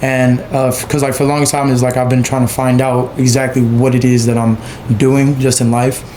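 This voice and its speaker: Flat-voiced rambling man, echoey room. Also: mid-range voice, fairly quick, a little hiss or hum. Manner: rambling, many fillers and 'you feel me'. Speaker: podcast guest, photographer. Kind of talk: casual chat.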